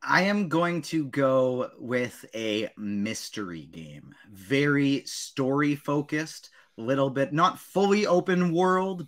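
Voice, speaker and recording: A man hosting a casual panel discussion, chatting informally. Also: mid-range voice. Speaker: podcast host.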